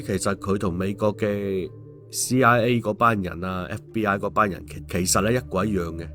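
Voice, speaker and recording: A man's voice: low-pitched, fairly bright tone. A man with a Hong Kong Cantonese accent narrating stories and commenting on them in a colloquial, opinionated way as host. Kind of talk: story narration and casual commentary.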